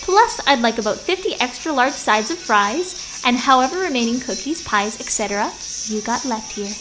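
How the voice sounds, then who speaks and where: Woman acting a brash high-voiced punk girl. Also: lively intonation, normal speed, over music, natural voice, low-quality recording. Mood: impatient. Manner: demanding, sneering. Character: brash, manic, mocking young punk woman customer. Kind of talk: audio drama acting.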